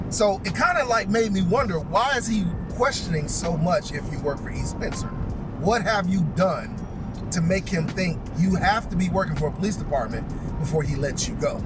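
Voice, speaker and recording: Higher-voiced man telling a story, distant mic. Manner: conversational, repeats phrases for emphasis. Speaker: police chief telling stories. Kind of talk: casual storytelling to viewers. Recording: distant mic, music playing behind. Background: clearly audible music.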